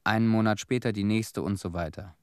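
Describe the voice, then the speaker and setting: Actor as haunted male cleanup veteran, low voice, somber measured testimony, dry studio radio play. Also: fairly even intonation, clean tone, no echo, lightly acted. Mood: somber, bitter. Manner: somber, measured storytelling in short phrases. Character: haunted Chernobyl cleanup veteran, a man bearing witness.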